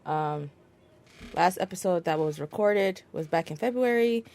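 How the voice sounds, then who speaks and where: A woman's voice: lowish voice, animated, a little slow, darkish tone. A woman hosting a wrestling podcast, chatting casually with many fillers and easy laughter. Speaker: podcast host. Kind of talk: casual chat.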